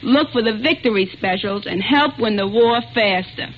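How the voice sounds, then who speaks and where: A woman's voice: on the high side, normal speed. A young woman giving an earnest, plain-spoken scripted testimonial in the style of 1940s radio. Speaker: speaker in a wartime radio food appeal. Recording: dull, noisy vintage radio recording.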